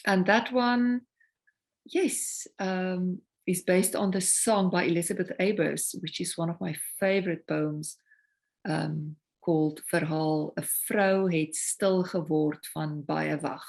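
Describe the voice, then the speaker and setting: A South African woman with Afrikaans as her first language talks through her music as an interview guest. Her voice sits low and carries a gravelly texture. She speaks reflectively and takes her time finding the right words. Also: steady tone, slow, a little mellow. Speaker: singer-songwriter guest. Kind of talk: casual interview conversation.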